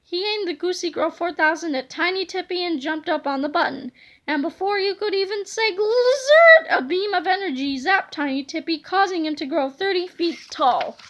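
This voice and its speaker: A woman reading a children's storybook aloud in an expressive narrating style. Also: very high voice, normal speed. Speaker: storybook reader. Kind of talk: animated read-aloud of a children's book.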